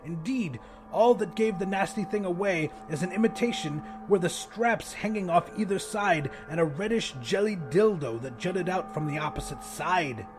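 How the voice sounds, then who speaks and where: Male audiobook narrator, fairly high voice, scripted first-person storytelling, soft music bed. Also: animated, normal speed.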